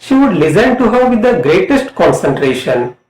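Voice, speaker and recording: A middle-aged man with an Indian accent reading a scripted lesson as an English lecturer, in a deliberate, emphatic way. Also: sits high, sing-song, darkish tone. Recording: roomy, echoing voice.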